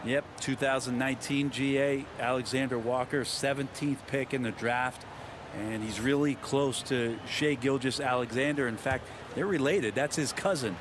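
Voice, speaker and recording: A man's voice: mid-range voice, moderate pace. A man giving color commentary on basketball in a video game. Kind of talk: sports analysis in a video game. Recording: loud music and court noise behind. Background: music, basketball bounces.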